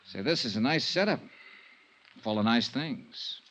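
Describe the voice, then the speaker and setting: An actor playing a tough, wry insurance investigator in a 1950s radio drama, clipped and sardonic. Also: male voice, medium pitch, expressive, unhurried, fairly bright tone. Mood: cool, needling. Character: tough, wry male insurance investigator, cool under threat. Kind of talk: 1950s radio detective drama acting with first-person narration. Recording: muffled 1950s radio recording, music.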